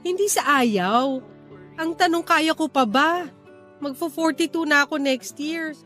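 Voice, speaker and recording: A teasing, practical mother in her early forties played by a woman in a radio drama. Her voice sits high and she banters with playful scolding over a music bed. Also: measured pace, a bit bright. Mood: teasing, exasperated.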